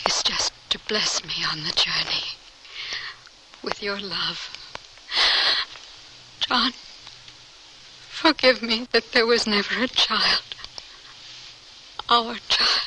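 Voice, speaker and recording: An actress playing a devoted, serene woman scientist facing death with fervent conviction, in measured, earnest old-time radio drama style. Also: mid-range voice, noisy background, natural voice. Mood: tender, serene resolve. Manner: theatrical, earnest, measured delivery.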